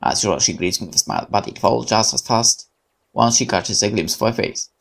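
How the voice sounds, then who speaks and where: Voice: mid-range voice, fairly quick. A game streamer reading visual novel narration aloud expressively, occasionally stumbling over words. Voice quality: creaky.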